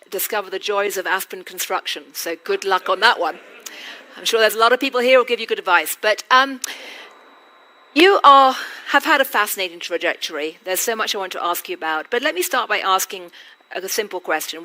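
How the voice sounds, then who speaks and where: British lady interviewing someone in an auditorium, teasing, bright voice. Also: mid-range voice, a little fast, poor audio. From Britain. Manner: witty, teasing. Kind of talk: onstage conversation. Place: auditorium before a live audience.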